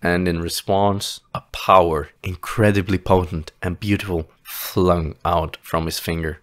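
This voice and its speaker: A man narrating a fantasy audio play in a theatrical, solemn storytelling manner with deliberate, dramatic phrasing. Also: low-pitched, steady tone, fairly bright tone.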